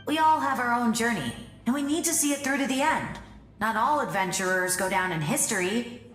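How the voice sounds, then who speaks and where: Plucky high-voiced girl adventurer played by a woman. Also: a little fast, a bit bright, natural voice. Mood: determined. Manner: spirited, encouraging. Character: plucky, upbeat young female adventurer. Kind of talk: video game voice acting. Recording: echoey, music behind.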